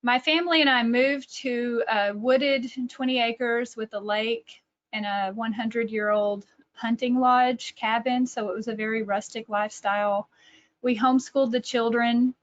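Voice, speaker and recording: A middle-aged woman with a Texan accent speaks in a somewhat high voice. She talks informally, like a presenter thinking aloud and correcting herself as she goes. The sound is a little dull and lo-fi, as on an online call. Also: fairly even intonation, moderate pace. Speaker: speaker, educator and author. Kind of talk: informal online talk with personal anecdotes. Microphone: video call.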